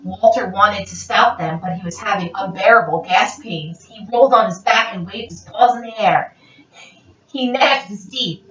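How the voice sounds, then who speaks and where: A woman reading a children's picture book aloud in a theatrical, expressive, playful way. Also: mid-range voice, moderate pace. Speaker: read-aloud storyteller. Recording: roomy, dull lo-fi, distant mic.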